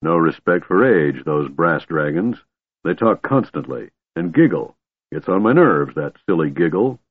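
Storybook dragon, played by a male reader: old, gloomy, very low and husky, muffled narrow-band recording. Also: big pitch swings, normal speed, full character voice. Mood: peevish, indignant. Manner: grumbling, weary, peevish.

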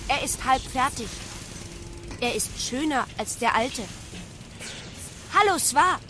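A woman playing a naive, curious artificial intelligence in a radio drama, with measured, childlike simple phrasing. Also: high-pitched, animated, sharp tone, faint music behind, full character voice. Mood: wondering.

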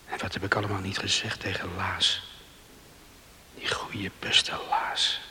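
A guilt-ridden island man talking to himself in a radio play, low slow voice, long echo. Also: monotone, pushed and bright, in a whisper, full character voice. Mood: ashamed, anguished. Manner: brooding monologue, sighing self-reproach. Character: remorseful, self-loathing island man brooding alone. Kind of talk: Dutch radio play soliloquy. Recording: hissy recording with long reverberation.

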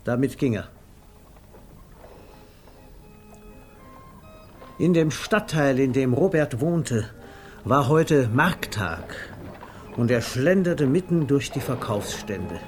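An actor narrating a comic 1950s German radio play in a measured, precise, drily amused literary manner. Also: male voice, medium pitch, animated, soft background music. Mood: lyrical, measured. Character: wry literary male narrator of a comic tale.

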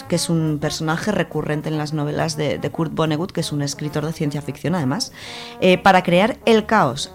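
A woman with an accent from Spain giving an enthusiastic, informal, conversational book review on a radio show, partly from prepared notes. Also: deep voice.

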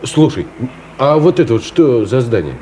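An actor playing a modest, bookish middle-aged bachelor teacher, self-deprecating and colloquial, in a naturalistic Soviet radio play of the 1970s. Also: male voice, mid-range voice, very animated, moderate pace, warm tone, natural voice. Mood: wry, curious. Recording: radio play with clear background noise. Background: car and street traffic ambience.

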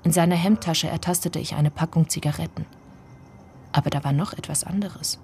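A woman narrating a literary radio drama in the first person, in measured, deliberate phrases. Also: sits low, steady tone, mellow, soft background music. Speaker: actor narrating.

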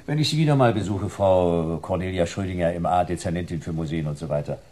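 Low, husky, sardonic detective. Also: male voice, fast, dark tone. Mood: sarcastic. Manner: dry sarcasm, curt. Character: sardonic, hard-boiled private detective, streetwise man. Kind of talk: German sci-fi crime radio play acting.

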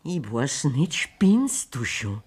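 An actress with a Bavarian accent playing a wronged, defiant middle-aged farmer's wife with sharp, biting retorts in a radio play. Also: female voice, low voice, big pitch swings, slow, slightly rough, exaggerated voice. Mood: bitter.

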